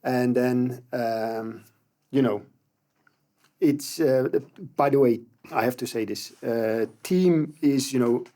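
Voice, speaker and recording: Man, mellow dark voice, reflective, hesitant, casual panel chat. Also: mid-range voice. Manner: reflective, hesitant, many fillers and restarts.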